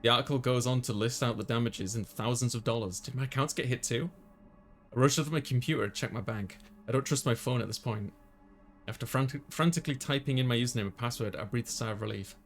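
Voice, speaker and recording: A man's voice: mid-range voice, quick pace. A man reading a visual novel aloud as a let's player, narrating and chatting casually, with game music behind him.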